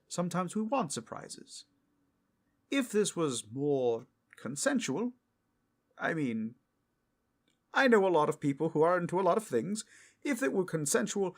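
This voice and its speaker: A man's voice: on the high side, voice pushed up. A man playing an earnest, well-meaning superhero in an audio roleplay, hesitant and stammering as he tries to sound reasonable.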